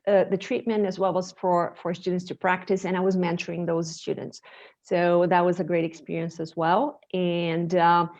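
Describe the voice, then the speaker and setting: A woman's voice: on the low side, darkish tone, lo-fi sound. A woman whose first language is Portuguese, a guest in an interview. She talks in a chatty way over a video call, often restarting her sentences and breaking into short laughs. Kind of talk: casual interview chat. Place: home with a lake view.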